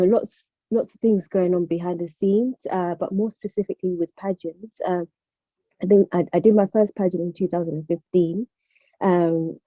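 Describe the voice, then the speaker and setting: A woman's voice: lowish voice, dark tone, no echo. A young woman from Zimbabwe, raised partly in the UK, giving a casual motivational talk as an online guest speaker. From born in Zimbabwe, moved to the UK aged about nine. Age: young adult. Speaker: guest speaker, former pageant contestant. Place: online video call. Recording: dull, dry lo-fi call audio.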